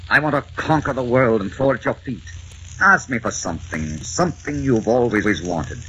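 A man's voice: medium pitch, animated, normal speed, pushed and bright, slight background noise, low-quality recording. A man acting the part of a tormented, passionate young writer and husband in a vintage radio drama. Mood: elated. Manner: impulsive, ardent, theatrical.

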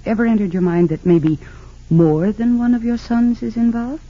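An actress playing a composed, principled woman defense attorney in an old radio drama, formal and measured as she questions. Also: on the high side, steady tone, natural voice. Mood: probing, businesslike. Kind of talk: 1940s-50s radio drama acting. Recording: dull lo-fi old radio recording.

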